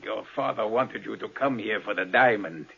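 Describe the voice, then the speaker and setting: An actor playing a cold, vengeful space explorer in 1950s American radio drama, with a measured, condescending, menacing delivery. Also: male voice, on the low side, creaky, sharp tone, husky, full character voice. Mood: coldly gloating. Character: cold vengeful male space explorer obsessed with his superiority. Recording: muffled vintage radio recording.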